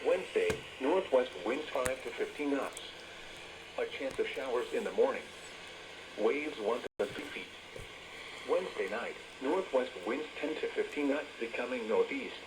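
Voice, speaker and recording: An automated male voice with an American accent reads a scripted weather bulletin over the radio in a flat, measured, synthetic way. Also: medium pitch, gravelly. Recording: noisy weather radio broadcast, strong static.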